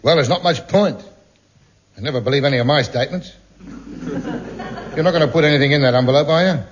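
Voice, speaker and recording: An actor playing a dry, laconic, shifty sidekick mechanic in a 1950s radio sitcom. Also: male voice, on the high side, fast, natural voice. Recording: muffled hissy 1950s radio broadcast.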